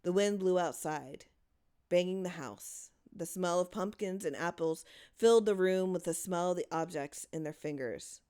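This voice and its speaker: A woman's voice: lowish voice, moderate pace, dark tone, smooth. A woman narrating a horror short story in a dramatic reading style.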